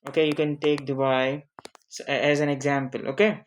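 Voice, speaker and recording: A non-native English speaker teaching an informal lesson on introductions, speaking haltingly, repeating phrases and often checking in with a questioning tag. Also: medium pitch, level tone, heavy background noise. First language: not English. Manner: halting, repetitive, frequently checking with "okay?". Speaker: online teacher of interview English. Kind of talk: informal spoken lesson with examples.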